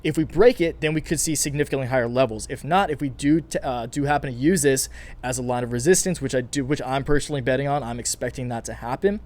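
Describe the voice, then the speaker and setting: Male channel host, rapid upbeat commentary, slight rasp from a long day of recording. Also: medium pitch. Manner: fast, energetic, chatty, many fillers and restarts. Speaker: finance YouTuber. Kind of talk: casual market commentary to camera.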